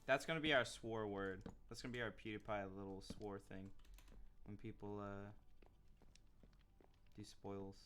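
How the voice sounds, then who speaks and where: A man live streaming a building game, chatting casually with his viewers and reacting with excited exclamations. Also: on the low side, unhurried, long pauses, soft background music. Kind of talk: casual live-stream chat while gaming.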